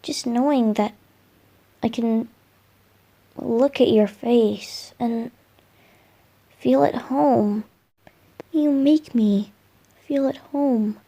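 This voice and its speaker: A woman voicing a gentle, lovestruck boyish male wind spirit in a romantic roleplay ASMR, speaking tenderly with soft murmurs and hesitant pauses. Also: a little high, exaggerated voice. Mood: tender, adoring.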